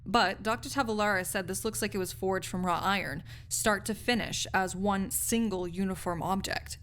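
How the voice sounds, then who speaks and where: A woman's voice: mid-range voice, normal speed, a bit bright, a little hiss or hum, lightly acted. A woman playing a snarky, skeptical university research assistant in an audio roleplay, speaking articulately in a conversational, lecturing way with dry asides. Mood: intrigued, explaining.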